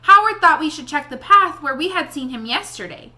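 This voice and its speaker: A woman reading a children's novel aloud in an expressive storybook style. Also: high voice, a little fast. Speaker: read-aloud reader addressing young listeners.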